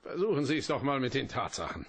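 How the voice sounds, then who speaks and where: A man's voice: mid-range voice, big pitch swings, fast talker, breathy edge. A man playing a weary, appeasing police sergeant in a German radio play, reluctant and pleading. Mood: matter-of-fact.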